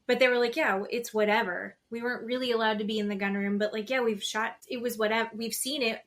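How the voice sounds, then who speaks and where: A woman with a fairly high voice co-hosts a casual podcast. She talks informally, in loose conversational phrasing, as she recaps television. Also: fairly even intonation, fast, a bit bright. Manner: informal, peppered with "like", quotes people conversationally. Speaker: podcast co-host.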